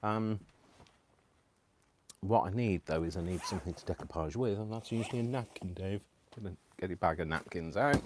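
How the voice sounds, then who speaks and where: A chatty British man with a deep, low voice talks to his live viewers from a home craft room. He rambles as he works, filling gaps with ums and little laughs and poking fun at himself. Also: normal speed, pauses often, background noise. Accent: British English. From United Kingdom. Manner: rambling and self-deprecating, many ums and laughs. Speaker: crafting livestream host. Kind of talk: casual chat to live viewers while crafting.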